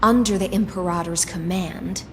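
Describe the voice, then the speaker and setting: An actress playing a dutiful, courteous female knight commander in a fantasy game, speaking in a formal, measured, deferential way. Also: on the low side, fairly even intonation, fairly bright tone, big-room sound, a little hiss or hum. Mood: formal, proud. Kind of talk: scripted fantasy game dialogue.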